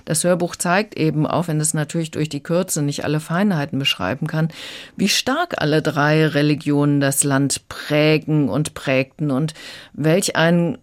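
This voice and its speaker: A critic talking through an audiobook review on the radio in a calm, explanatory, thoughtful manner. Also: mid-range voice.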